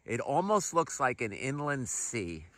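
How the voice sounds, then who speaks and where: A man's voice: medium pitch, sing-song, a little slow, pushed and bright. Upbeat man narrating an outdoor travel vlog. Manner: upbeat, enthusiastic touring commentary. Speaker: travel vlogger. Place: outdoors at a desert lake shore.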